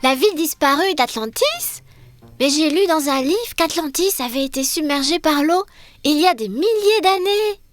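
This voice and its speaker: A woman's voice: high voice, expressive, moderate pace, faint music behind. A woman voicing a cheerful, curious young girl in a children's cartoon, with enthusiastic, wide-eyed delivery. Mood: curious, eager.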